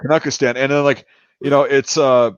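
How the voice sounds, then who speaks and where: A man's voice: mid-range voice, level tone, fairly quick, clear, resonant tone, no room sound. A man chatting casually as a podcast host, rambling and swearing freely. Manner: rambling, swears freely, lots of fillers and restarts. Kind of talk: casual chat. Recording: dry, dull lo-fi sound.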